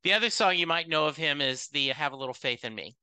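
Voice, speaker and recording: A man hosts a podcast in a casual chat, his voice very bright and sharp. His phrasing rambles, with restarts and drawn-out words, and the sound is dry and close. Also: fairly high pitch, animated, clear, resonant tone, dry recording. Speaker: podcast host.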